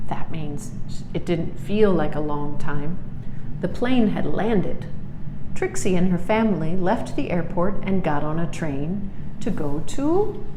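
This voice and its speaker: A woman reading a children's picture book aloud expressively, adding little explanations and reactions for young listeners. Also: lowish voice. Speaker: storybook reader. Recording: roomy echo, clearly noisy background.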